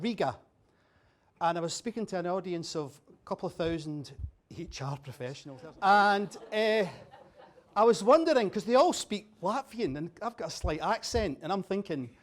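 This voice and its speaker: A Scottish man giving a humorous after-dinner talk, chatty and jokey, quizzing the audience. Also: high-pitched. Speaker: keynote speaker. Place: dinner venue with a bar.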